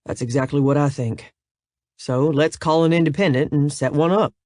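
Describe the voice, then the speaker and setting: A man voicing a composed businessman older brother who speaks in a calm, decisive way. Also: on the high side, normal speed, no room sound, clean studio sound, close to an everyday voice.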